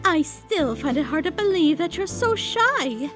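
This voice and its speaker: A woman's voice: very high voice, very animated, a little slow, over music, heavily acted. A woman voicing an elegant, flirtatious female pony fashionista in a fan audio drama, with a genteel, theatrical delivery. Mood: teasing.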